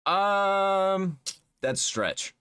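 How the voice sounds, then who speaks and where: Mocking man reacting, higher voice. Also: expressive, extremely slow, pushed and bright, clear, resonant tone. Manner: mocking, swings between a squeaky high register and a put-on deep voice; swears freely, reads search results aloud. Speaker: reaction channel host. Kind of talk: casual reaction commentary.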